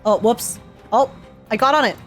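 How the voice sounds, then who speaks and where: A young woman giving casual, slangy and expressive game reaction commentary as a streamer. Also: a little high, normal speed, faint music behind.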